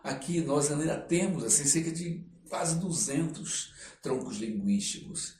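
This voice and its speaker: An older man with a Brazilian accent, telling personal anecdotes as an interview guest in a halting, reflective way. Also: fairly high pitch, lively intonation, a little mellow. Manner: halting, reflective, frequent tag questions. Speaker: interview guest, actor and storyteller. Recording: roomy echo, clear background noise.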